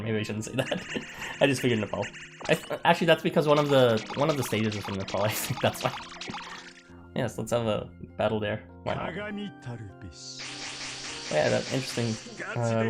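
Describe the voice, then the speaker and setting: A young man, streaming a game and chatting casually with viewers in a halting way, full of fillers and little chuckles. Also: on the low side. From Canada. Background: game music.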